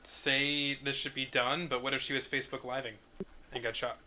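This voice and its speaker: A man's voice: mid-range voice, little pitch movement, moderate pace, pushed and bright. A man speaking casually in a group discussion. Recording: faint background noise, clicks.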